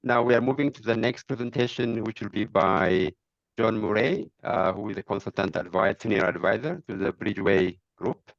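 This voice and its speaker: A man's voice: fairly low pitch, moderate pace, creaky, no room sound, lo-fi sound. A man moderating a webinar over a video call, polite and formal, with fillers.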